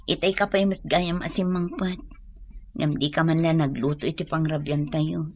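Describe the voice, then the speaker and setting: A woman's voice: low voice, steady tone, moderate pace, a little mellow, breathy edge. A woman with a Filipino accent playing a meek, long-suffering young girlfriend, apologetic and hesitant, in a radio drama. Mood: pleading. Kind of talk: Ilocano radio drama acting.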